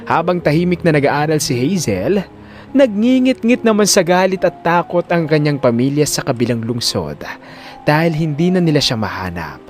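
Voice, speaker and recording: Expressive male story narrator. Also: a little high, moderate pace. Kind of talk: dramatic scripted story narration. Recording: music under the voice.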